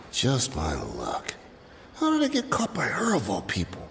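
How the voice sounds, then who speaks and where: A man's voice: mid-range voice, a little slow, vocal fry, slight background noise, full character voice. A man playing a nervous, weaselly smuggler in a video game, wheedling and bargaining. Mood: resigned. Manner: wheedling, animated. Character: shifty, nervous male smuggler caught red-handed, weaselly and bargaining. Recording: game music behind the voice. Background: background music.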